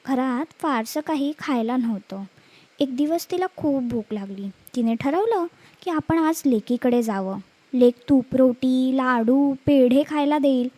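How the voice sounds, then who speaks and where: A woman's voice: high voice, dark tone. A woman storyteller narrating a scripted children's story, speaking expressively to young listeners.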